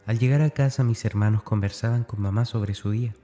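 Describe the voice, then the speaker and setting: A male actor plays a tormented schoolboy confiding in his diary in a horror radio drama. The voice is fairly low and soft-edged, hesitant and brooding, with a dark streak. Light music plays underneath. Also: fairly quick, close to an everyday voice. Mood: weary, melancholic. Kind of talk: confessional diary monologue in horror audio drama.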